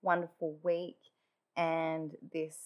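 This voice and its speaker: A woman's voice: low-pitched, completely flat delivery, slow, dull audio. A woman hosting her own podcast in casual, chatty talk, with a nasally, congested voice after just waking up. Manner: chatty, many "like" fillers. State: just woken up. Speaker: podcast host. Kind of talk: casual solo podcast chat.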